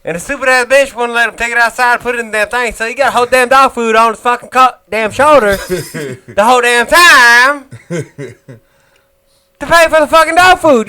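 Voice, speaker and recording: Guy doing a loud crude comedian bit, way high and bright, ranting. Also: fast. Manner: shouty, crude, exasperated. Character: loud brash male comedian narrating, cursing.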